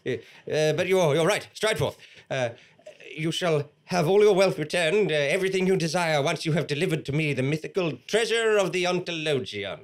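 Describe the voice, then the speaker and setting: A man's voice: fairly high pitch, animated, moderate pace, natural voice. A man playing a cruel, greedy feudal lord in a live comedy drama, stammering through hesitant, apologetic bluster. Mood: smug, shifty. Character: cruel, greedy, shifty old feudal lord, flustered and petty. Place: small theatre stage before an audience. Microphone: stand microphone.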